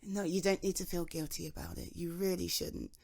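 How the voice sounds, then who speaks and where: A young British woman speaking to a friend in an intimate ASMR roleplay, chatty and reassuring, with frequent soft laughs. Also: fairly low pitch, steady tone, fairly quick, gravelly. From Britain.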